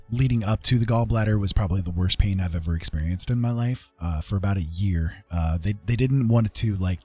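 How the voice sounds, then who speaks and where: Casting: American male streamer, husky, slightly deep, chatting casually with stop-start repetitions over quiet music. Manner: halting, often repeats words. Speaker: livestream host. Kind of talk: casual livestream chat.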